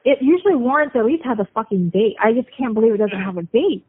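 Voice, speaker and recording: A woman in a casual private phone call, talking in a worried, halting, questioning way. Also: a little high, lively intonation, breathy edge. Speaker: caller talking with a jail inmate. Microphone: telephone. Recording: tinny, band-limited phone line.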